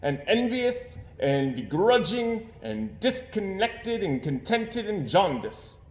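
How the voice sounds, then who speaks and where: A man's voice: sits high, very animated, slow pace, pitched above the performer's own voice, full character voice. Guy doing a wordy doting dad from a picture book, emphatic and swoopy, echoey. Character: wordy, doting father of a little girl.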